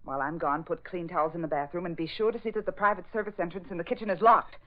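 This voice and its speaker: An actress playing an imperious, impatient lady spy in 1940s radio style, bossy and sharp-tongued. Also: fairly low pitch, steady tone, fast talker, close to an everyday voice. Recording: muffled old radio recording.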